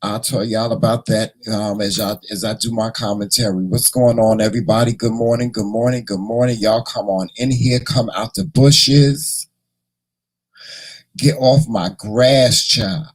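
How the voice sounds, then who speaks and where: A hoarse man hosting a casual morning livestream, chatting and teasing his viewers in a folksy way. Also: lowish voice, steady tone, a little slow. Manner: folksy, teasing, talks to the chat; repeats words and restarts often. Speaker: morning show host.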